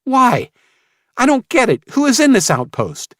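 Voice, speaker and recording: Man voicing a worried mother, raised pitch. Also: wildly swooping pitch, a little slow, no room sound, studio quality, exaggerated voice. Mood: upset, demanding. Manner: gentle, questioning, pitched up when upset. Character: worried, gentle but firm mother in post-apocalyptic audiobook.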